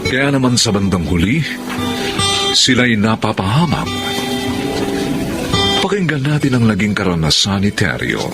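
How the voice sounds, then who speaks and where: A man narrates a radio drama opening in a grave, theatrical way. His lowish voice moves slowly with big swings in pitch, over loud music. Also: big pitch swings. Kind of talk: solemn scripted radio drama introduction.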